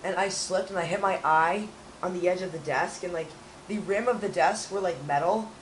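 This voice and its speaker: A young man with a raspy, hoarse voice from a cold, rambling in casual chat as a channel co-host. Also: high-pitched, moderate pace, a little hiss or hum, rough recording. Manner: rambling, restarts often.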